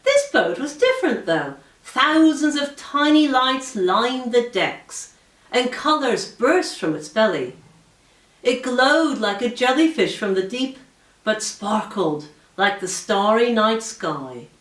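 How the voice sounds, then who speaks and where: Female narrator for fantasy fiction, higher-pitched and very expressive, measured dramatic read; roomy, distant recording. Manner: measured, dramatic storytelling delivery. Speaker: audiobook reader, author. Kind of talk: expressive scripted fiction narration, first-person story reading. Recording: roomy echo, distant from mic.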